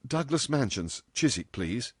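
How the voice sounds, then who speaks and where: A polite young secretary in an audiobook, a woman played by a male reader. She is halting and easily flustered, softly lifting the pitch with lively swings. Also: no echo, clean studio sound. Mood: faltering. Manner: hesitant, halting, stammering politeness.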